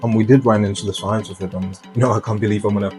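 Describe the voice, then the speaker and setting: Low, flat-voiced man, giggly. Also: racing, music in the background. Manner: nervous and giggly, many "um" fillers.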